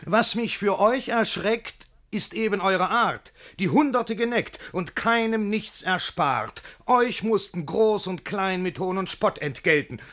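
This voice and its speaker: An actor playing a worldly, wry gentleman who cautions his friend in urbane rhymed verse, in a classic radio play. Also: high voice, animated. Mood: cautioning. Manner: urbane, reasoning, measured declamation.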